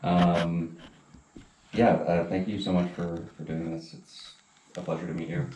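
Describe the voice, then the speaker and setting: A man's voice: low voice, level tone, unhurried, background noise. A man interviewing casually in a hesitant, rambling way that often trails off. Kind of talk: casual chat. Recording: roomy, distant from the mic.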